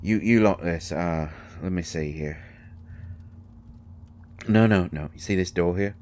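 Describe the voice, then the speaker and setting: Gruff folksy male character, acted by a man, bass-low and slow, stammering, for intimate roleplay audio drama. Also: darkish tone. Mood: distracted, searching. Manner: halting, stammering, folksy colloquial speech. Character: gruff, folksy, flustered man comforting a vampire guest.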